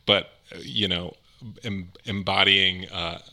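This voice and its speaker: A man co-hosting a casual music chat, working out his thoughts in halting, reflective phrases. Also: sits low, fairly even intonation, sharp tone. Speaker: music podcast co-host.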